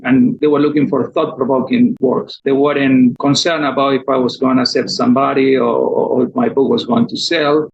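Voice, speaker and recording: A man's voice: medium pitch, creaky, dull audio, slight background noise. A man in his fifties with an Argentine Spanish accent, a novelist and Spanish speaker talking reflectively at length in an interview. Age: 50s. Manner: reflective, explains at length in a measured way. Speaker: novelist guest. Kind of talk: conversational interview.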